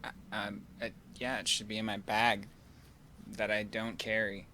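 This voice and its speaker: A man's voice: lowish voice, level tone, moderate pace, bright, forceful tone. A man making casual, hesitant, dryly wry remarks while playing a game. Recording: clearly noisy recording with clicks.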